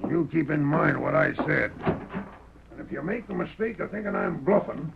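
An actor playing a crooked Old West sheriff in a radio western, threatening and blustering in a raspy Western American drawl. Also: male voice, fairly high pitch, animated, normal speed, heavy background noise. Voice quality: gravelly, raspy. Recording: dull, muffled old radio recording.

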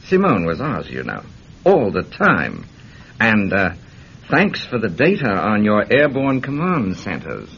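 An actor playing a mocking, urbane Soviet spymaster in a radio drama, sardonic and theatrically polite. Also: male voice, on the low side, wildly swooping pitch, a little slow, gravelly, fairly bright tone, heavily acted. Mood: smug, gloating. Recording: dull, faintly noisy radio drama recording.

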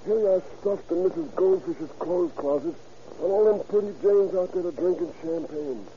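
A man's voice: high-pitched, normal speed, natural voice. An actor playing a blunt, slangy, grumbling American tough guy in an old-time radio crime drama. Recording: muffled, noisy old radio recording.

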